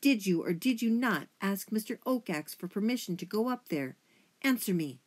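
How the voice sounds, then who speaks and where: A woman's voice: lowish voice, expressive, moderate pace, a little mellow. A self-important mouse patriarch from a children's storybook, acted out by a woman narrator. He speaks formally and sternly, with a pompous weight and ready indignation. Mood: indignant, agitated.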